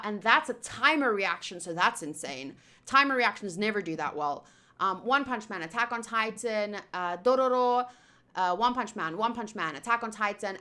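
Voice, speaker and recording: A woman's voice: fairly high pitch, bright, forceful tone. A young woman whose first language is Afrikaans, chatting casually on a live stream with lots of fillers and restarts. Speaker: streamer answering chat.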